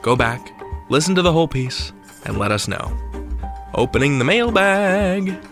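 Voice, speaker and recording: A man's voice: fairly high pitch, very animated, measured pace, music in the background. A man hosting a podcast, chatting to listeners in a playful, theatrical, slangy way. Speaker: podcast host. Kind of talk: chatty podcast countdown and commentary.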